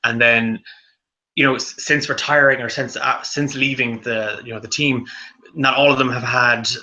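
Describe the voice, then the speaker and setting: An Irish man hosts a relaxed book chat with a very bright, forward tone at an ordinary pitch. He stops and restarts his sentences a lot, piling up fillers as he thinks aloud. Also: muffled recording. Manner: halting, with many restarts and fillers. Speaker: book club host. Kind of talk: casual discussion.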